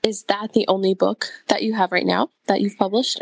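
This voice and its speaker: A woman's voice: mid-range voice, little pitch movement, moderate pace, gravelly, no room sound. A woman hosting a podcast, reacting effusively and asking friendly questions. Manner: warm reactions, effusive, laughs. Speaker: podcast host. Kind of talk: casual interview chat.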